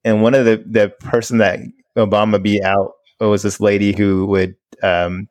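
Male co-host, lower voice, dry close sound, earnest explainer. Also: flat delivery, moderate pace, darkish tone, no echo. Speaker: podcast co-host. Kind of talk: casual chat.

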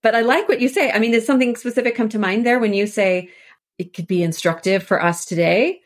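A woman speaking as an expert guest in a conversational podcast interview, explaining things informally with animated asides. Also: a little high, brisk. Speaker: expert guest, annotated edition editor.

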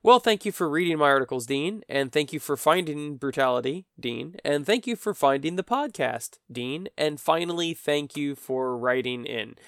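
A man's voice: fairly high pitch, expressive, normal speed, hardly any pauses, fairly bright tone, smooth, no room sound, studio quality. A man hosting his own podcast, tired and stuffy with a cold, rambling with self-mocking humor. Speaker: podcast host. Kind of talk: solo podcast chat. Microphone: podcast microphone.

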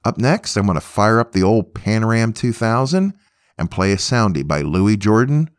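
A man's voice: fairly low pitch, expressive, moderate pace, clear, resonant tone, dry recording, clean studio sound. A man hosting a music podcast, introducing and commenting on records in an informal presenting style. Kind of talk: informal music show presenting between songs.

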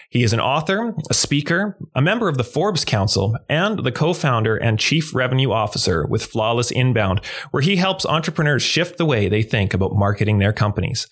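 A man hosting a podcast interview, introducing his guest and asking questions in a relaxed, loose way. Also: medium pitch. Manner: relaxed, with fillers and restarts while asking questions. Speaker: podcast host. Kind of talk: conversational interview.

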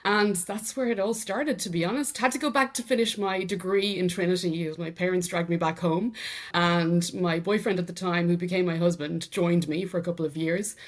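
A middle-aged Irish woman talks through her career as a podcast guest. Her voice sits a little low, and she tells her story with an easy, conversational flow. She laughs readily as she goes. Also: steady tone, moderate pace. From Ireland. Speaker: podcast guest, sales enablement executive. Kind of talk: conversational interview.

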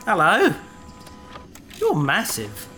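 A man's voice: high-pitched, huge pitch swings, drawn-out words, pushed and bright, slight background noise, heavily acted. A man playing a small, injured space researcher in a sci-fi audio drama, dazed, guileless and childlike in the way he talks. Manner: woozy, drifting, guileless.

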